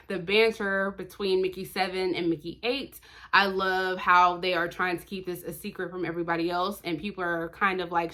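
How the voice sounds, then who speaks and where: A woman's voice: medium pitch, a bit bright. A woman talking casually as a book vlogger, emphatic, often answering her own questions in short blunt bursts. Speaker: book reviewer, vlog host. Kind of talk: casual chatty book review vlog.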